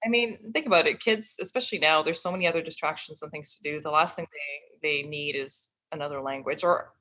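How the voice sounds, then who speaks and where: French Canadian woman guest, French native speaker, friendly, bright clear voice. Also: low-pitched, quick pace. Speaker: podcast guest. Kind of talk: casual interview chat.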